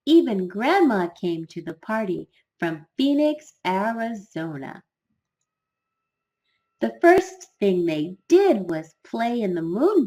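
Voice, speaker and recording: Highly animated woman reading a children's story. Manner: expressive, deliberate storytelling with dramatic emphasis. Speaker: storybook narrator. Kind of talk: scripted children's story narration.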